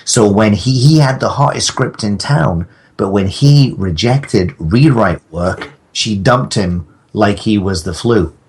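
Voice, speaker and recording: A man chatting casually as a podcast guest, telling stories in a halting, rambling way. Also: on the low side, a little hiss or hum. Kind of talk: casual anecdotal chat.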